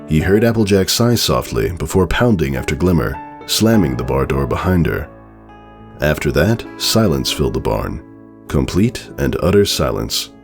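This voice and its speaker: A man narrating a story as a dramatic, scripted reading. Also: extremely low pitch. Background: background music.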